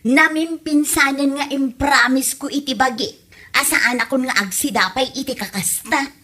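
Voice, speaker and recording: A woman's voice: sits high, normal speed, bright, forceful tone. A woman playing a haughty, fussy village housewife in a comedy audio drama, whining and emphasizing things dramatically. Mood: haughty, whiny. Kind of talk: comedy radio drama acting.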